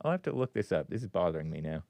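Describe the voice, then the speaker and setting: A man's voice: deep voice, sing-song, racing, gravelly, a little mellow, no echo. A man hosting a call-in talk show, rambling through the news with opinions and frequent restarts. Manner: rambling, opinionated, self-interrupting. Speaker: host. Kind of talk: casual talk radio monologue.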